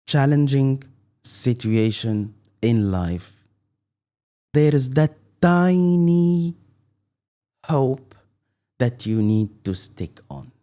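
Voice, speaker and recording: A man's voice: mid-range voice, warm tone. A middle-aged man from Tunisia, a guest in an interview, talking earnestly with many restarts and an emphatic "believe me". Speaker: guest, content creator.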